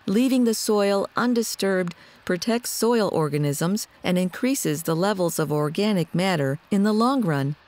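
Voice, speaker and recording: A woman reading scripted documentary narration in a measured, articulate, explanatory way. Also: medium pitch, expressive, a little mellow.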